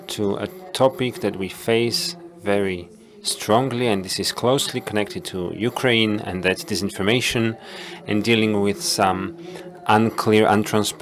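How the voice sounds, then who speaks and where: A man with a fairly low voice moderates a panel discussion. He speaks in measured, halting phrases, restarting now and then as he sums up and moves to the next question.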